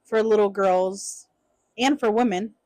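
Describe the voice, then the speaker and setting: A woman co-hosting a casual podcast, talking animatedly and jumping up in pitch when she acts out other people. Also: measured pace, dry recording. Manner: animated, voices quoted people with big pitch jumps. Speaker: podcast co-host.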